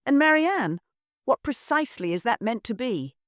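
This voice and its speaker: A woman's voice: fairly high pitch, big pitch swings, moderate pace, dry recording, studio quality, pitched above the performer's own voice. A woman voicing a domineering, snobbish middle-aged mother who shrieks and speaks in a haughty, affected way. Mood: scornful.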